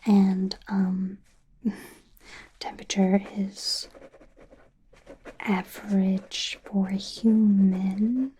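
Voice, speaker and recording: Casting: woman as female werewolf doctor for ASMR roleplay; soft mellow whisper, awkward, curious, apologetic, unhurried. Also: medium pitch, level tone, heavily acted. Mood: gently clinical. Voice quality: whispered, breathy. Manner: hesitant, halting, softly reassuring. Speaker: ASMR performer.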